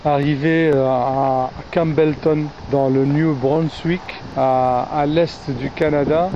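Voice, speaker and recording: A man with a French accent narrating his road trip in a slow, hesitant way, pausing often with fillers and restarting words. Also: fairly high pitch, steady tone. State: tired. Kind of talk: casual travel narration. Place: in a car and outdoors by a river. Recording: dull lo-fi sound with clear noise.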